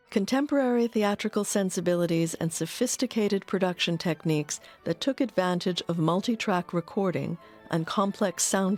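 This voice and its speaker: A woman reading scripted documentary narration in a measured, informative manner. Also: mid-range voice, darkish tone. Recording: faint music bed under narration.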